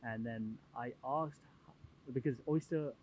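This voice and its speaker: A man from Nepal, a native Nepali speaker, answering interview questions in a casual, halting way with many fillers and restarts. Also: medium pitch, phone-quality sound, slight background noise. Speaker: podcast guest.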